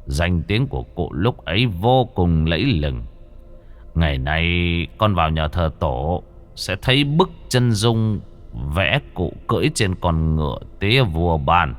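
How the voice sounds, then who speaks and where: A man voicing a kind, toothless old grandfather's ghost who speaks slowly and softly. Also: low-pitched, very animated, full character voice. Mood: explaining. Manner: slow, soft and drawn out. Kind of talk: audio horror storytelling.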